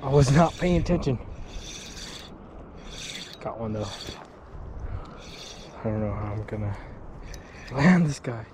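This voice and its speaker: A young man casually talking to viewers while fishing at a mountain lake, speaking quietly and admiring the fish, recorded on a body-mounted action camera. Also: medium pitch, expressive, slow, long pauses. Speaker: fishing vlogger. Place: outdoors by a mountain lake and stream. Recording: outdoor action camera with water noise. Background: water and wind.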